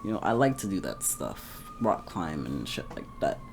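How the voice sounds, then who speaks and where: A young man chatting casually to his camera at home, laughing often and getting animated at times. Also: deep voice, moderate pace, a little hiss or hum. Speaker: vlogger. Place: indoors at home.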